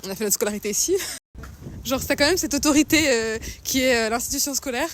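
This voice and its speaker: High quick young woman. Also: slight background noise. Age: young adult. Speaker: interviewee.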